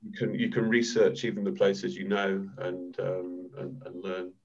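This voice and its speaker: A man in his thirties from the north of England talks on a video-call panel. He is hesitant and keeps repeating words and restarting. His voice is low and husky, and the call sound is dull and lo-fi. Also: completely flat delivery, measured pace, darkish tone. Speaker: panel guest author. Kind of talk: casual panel discussion. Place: home room, video call.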